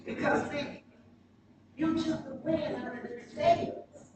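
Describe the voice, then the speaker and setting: Woman preacher, slow emphatic sermon delivery with dramatic pauses, picked up off-mic over clear background noise. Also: high-pitched, very animated. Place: church sanctuary during a live service. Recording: very echoey, distant mic, noisy church livestream.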